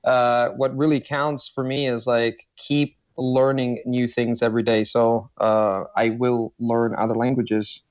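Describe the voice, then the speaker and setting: An Italian-native man speaks in a dark, mellow voice. His answers are thoughtful and halting, full of fillers and restarts. The sound is dry and a bit dull. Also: mid-range voice, level tone, no room sound. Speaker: guest, polyglot. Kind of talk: casual interview talk.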